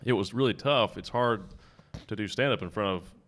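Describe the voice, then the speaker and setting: A man in his early forties hosting a casual podcast interview, friendly and quick with short yeahs. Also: lowish voice, a bit bright. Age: 40s. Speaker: podcast host.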